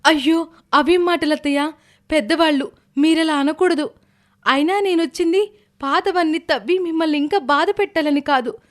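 A woman playing a gentle, respectful young daughter-in-law in a family story, polite and caring. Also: sits high, moderate pace, close to an everyday voice. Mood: caring, concerned. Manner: polite, soft-hearted.

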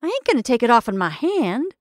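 A woman's voice: a little high, very animated, fairly quick, no echo, clean studio sound, pitched above the performer's own voice. A woman voicing a weary, grudging man stuck in the back half of a camel costume.

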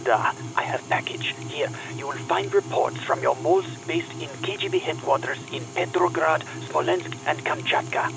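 A man's voice: on the high side, normal speed, gravelly, hardly any pauses, low-quality recording. A man playing a furtive Russian double agent in an audio drama, with a Russian accent. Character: furtive male Russian double agent handing over secrets.

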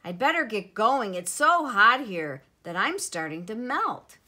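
A woman performs a little storybook planet who is indignant and easily wounded. He exclaims his lines emphatically in a high, forceful voice that swings widely. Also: very animated, unhurried, exaggerated voice. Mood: pleased, proud. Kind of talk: children's storybook character voice.

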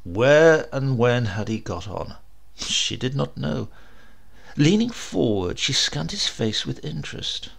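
A man narrating a horror story in a theatrical, expressive way, with deliberate drawn-out pauses. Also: medium pitch, creaky. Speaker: audiobook narrator.

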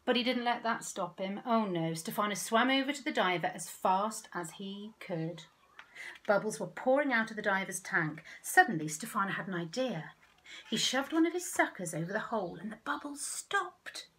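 Female storyteller, middle-aged, expressive picture-book read for young children. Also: mid-range voice, moderate pace. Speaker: children's author reading own picture book. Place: outdoors in a back garden.

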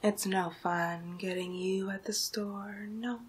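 A young adult woman performing an ASMR roleplay as a magical enchantress, whispering breathily in a hypnotic, drawn-out way. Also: medium pitch, fairly even intonation, breathy edge. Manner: slow, hypnotic, drawn-out phrasing. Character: magical enchantress turning listener into Halloween candy.

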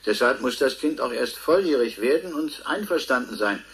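An actor playing a proud, defensive professor being interviewed in a radio drama, pedantic and pointed, with deliberate emphasis. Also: male voice, mid-range voice, animated, fairly bright tone. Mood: explanatory, precise. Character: proud, defensive male professor, Nobel-winning scientist.

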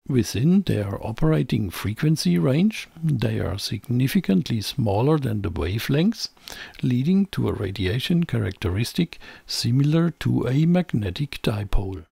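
A man's voice: medium pitch, big pitch swings, moderate pace, gravelly, dark tone, husky, no echo. A man presenting a scripted technical explainer in a didactic, emphatic way, as a close voice-over. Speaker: presenter of an electronics channel.

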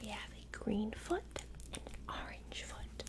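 A young woman in an ASMR roleplay as a childcare worker, talking gently and simply to a small child with lots of questions. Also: medium pitch, lively intonation, a little slow. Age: young adult. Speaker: ASMR creator playing a preschool childcare worker.

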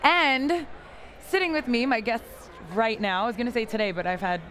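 A woman in her thirties hosting a live interview at a convention booth, chatty, bubbly and loud, on a lapel mic. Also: fairly high pitch, normal speed, a faint crowd, slight background noise. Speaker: host. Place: booth at a busy convention hall.

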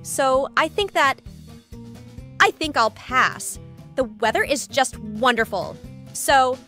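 Woman as a high, bright, sleepy cartoon cat. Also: animated, slow pace, faint music behind, heavily acted. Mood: drowsy, unbothered. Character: sleepy, smug cartoon cat who loves napping. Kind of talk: amateur comic dub voice acting.

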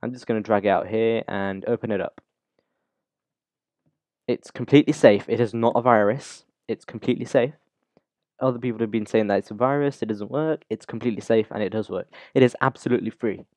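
A man hosting a casual, unscripted software tutorial, talking through the steps informally as he goes. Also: on the low side, fairly quick, no echo. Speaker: YouTube tutorial host.

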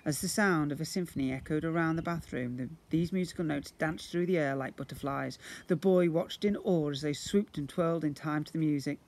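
A man's voice: fairly high pitch, fairly quick, hardly any pauses, darkish tone. A middle-aged man reading a children's story aloud like a teacher to young pupils, outdoors. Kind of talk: animated children's storybook narration. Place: outdoors in a brick-walled yard. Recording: noisy outdoor handheld recording.

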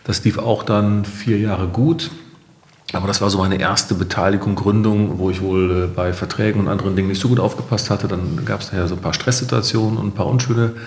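A man's voice: fairly low pitch, steady tone, a little fast, roomy sound. A middle-aged man giving conversational answers as a company founder in an interview.

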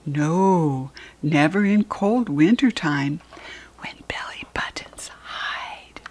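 Children's story reader: American woman, breathy, unhurried delivery with a lively swooping pitch. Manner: expressive, addressing small children. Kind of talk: read-aloud storytelling for young children.

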